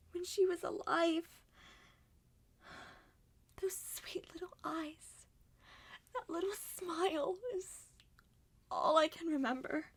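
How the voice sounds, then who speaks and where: A woman voicing earnest, anxious young animated characters in a scripted murder mystery roleplay, with theatrical, deliberate delivery. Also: very high voice. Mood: grieving, hushed. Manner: theatrical, deliberate acted dialogue.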